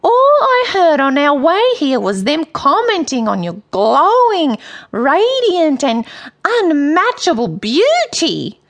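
Lady doing a nervous little puffer fish kid in a storybook, really high voice, fumbly, sucking up. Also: huge pitch swings, slow, exaggerated voice. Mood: trembling flattery. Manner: fumbling, trembling, over-polite. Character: nervous, flattering young boy pufferfish, eager to please. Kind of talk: children's storybook character voice.

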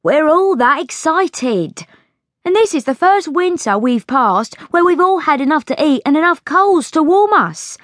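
A woman voicing a quick, excitable, sharp-tongued young chambermaid who talks bossily in a storybook reading. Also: sits high, no echo, studio quality, pitched above the performer's own voice. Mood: excited.

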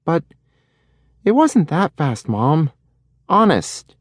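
A man's voice: on the high side, huge pitch swings, slow, no room sound, studio quality, voice pushed up, exaggerated voice. A man voicing a restless six-year-old boy who loves speed and pleads sulkily. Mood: pleading. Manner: sulky, pleading.

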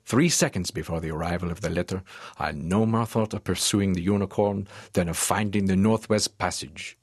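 A man's voice: low-pitched, fairly quick, husky, dry recording, studio quality. A man playing an eager French professor who narrates his sea adventure in a formal, theatrical audiobook drama style. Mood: measured narration. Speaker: narrator and lead actor.

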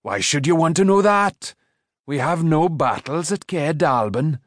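A man voicing a stout old smith in a storybook, a gruff but kindly mentor who is wry and commanding. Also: mid-range voice, lively intonation, slow. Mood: brusque. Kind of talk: storybook character voice.

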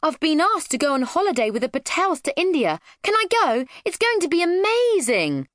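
A woman voicing an excitable, dramatic young girl in a children's story, exclaiming and drawing out words. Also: fairly high pitch, no room sound, pitched above the performer's own voice. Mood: thrilled. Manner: exclaiming, drawn-out, melodramatic.

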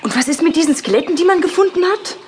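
Scared young woman, horror radio play, very high animated voice, anxious stammer, some background noise. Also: quick pace. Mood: anxious, stubborn. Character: frightened young woman lost in a haunted forest. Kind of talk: German horror audio drama acting.

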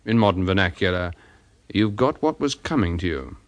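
A shrewd English private detective in a radio play. His voice sits low and he speaks with measured authority, laying out his reasoning with composure. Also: lively intonation, over loud music. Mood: calm, reasoning. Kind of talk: British radio drama acting.

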